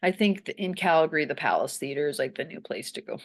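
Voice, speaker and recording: A woman's voice: low-pitched, fast talker. A woman hosting a casual interview, chatty, effusive and encouraging as she talks. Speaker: interviewer, magazine host.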